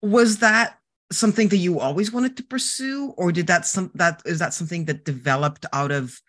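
A woman's voice: on the low side, normal speed, fairly bright tone. A woman hosting a podcast, reading a scripted introduction and asking interview questions in a conversational way. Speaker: podcast host.

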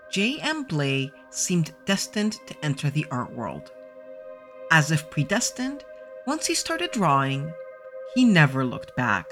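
A woman's voice: low-pitched, a bit bright. A woman hosting a podcast, reading a scripted introduction and asking interview questions in a conversational way. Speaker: podcast host.

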